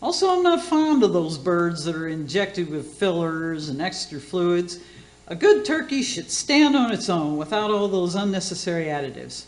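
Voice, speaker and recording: A woman's voice: on the low side, sing-song, moderate pace, darkish tone. A woman playing a batty, boastful older aunt who hosts a radio cooking show in a comic radio play, with a folksy, theatrical, catty manner. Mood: advising. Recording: roomy echoing sound.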